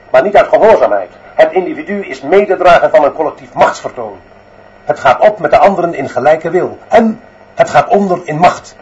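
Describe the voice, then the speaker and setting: A man's voice: on the high side, darkish tone, muffled recording, close to an everyday voice. A man playing a dictatorial headmaster who declaims his demands for iron discipline in a radio drama. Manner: declamatory, emphatic repeated demands. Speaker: actor in a radio play. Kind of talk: Dutch radio drama monologue.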